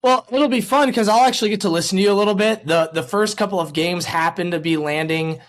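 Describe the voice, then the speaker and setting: A man's voice: sits high, fast. A man hosting a casual sports podcast, speaking in an enthusiastic, informal way. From US West Coast. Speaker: podcast host.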